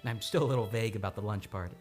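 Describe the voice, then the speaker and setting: An actor playing an earnest, tender man haunted by returning memories, with halting, dramatic delivery in an old-time radio play. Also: lowish voice, a little mellow, natural voice. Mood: earnest recollection. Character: haunted male amnesia patient recovering his memory, tender and earnest. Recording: studio radio play with music behind.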